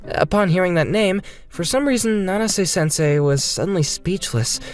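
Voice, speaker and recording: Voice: medium pitch, lively intonation, moderate pace, soft background music. A narrator reading a scripted manga dub story in a dramatic storytelling style.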